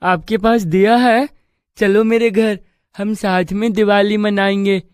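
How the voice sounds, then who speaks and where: A woman's voice: mid-range voice, steady tone, a little slow, darkish tone, clear, resonant tone, dry recording, clean studio sound, lightly acted. A woman voicing a kind, innocent young village boy in a storybook tale, speaking earnestly.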